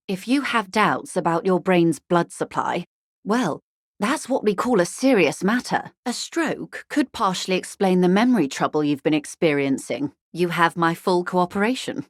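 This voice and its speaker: An actress playing a bourgeois corporate negotiator in a video game, polite and articulate, with a measured, drily condescending wit. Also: female voice, medium pitch, dry recording, studio quality. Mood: politely concerned. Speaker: senior labour negotiator. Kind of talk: video game dialogue voice acting.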